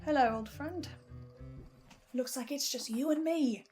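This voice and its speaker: A woman playing an anxious, sardonic researcher and broadcaster, speaking in a halting, expressive, naturalistic audio-drama manner. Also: high voice, soft background music. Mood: subdued, confiding. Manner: halting, expressive, self-interrupting. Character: Edwin, anxious, sardonic researcher and broadcaster bound to fearsome powers. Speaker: podcast-style host reviewing a horror series.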